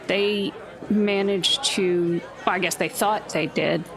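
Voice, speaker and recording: A woman's voice: medium pitch, steady tone, slow, a crowd in the background. A woman playing in a tabletop game, explaining background lore in a matter-of-fact, confident way. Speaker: player. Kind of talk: casual chat in a tabletop game.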